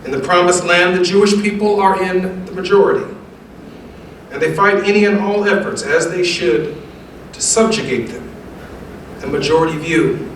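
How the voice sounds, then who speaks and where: A fairly high-voiced American man gives a formal speech in a heavily echoing room, picked up from a distance. His delivery is measured and emphatic, in an oratorical style that leans on repeated phrases like a preacher. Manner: measured, emphatic, rhetorical repetition. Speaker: guest speaker delivering remarks. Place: large echoey indoor event room. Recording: very echoey room, distant mic, faint noise.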